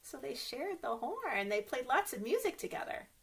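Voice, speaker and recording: A woman's voice: high voice, sing-song, normal speed, creaky, slightly rough. A woman reading a picture book aloud for young children as a story time host, playfully exclaiming and asking her listeners questions.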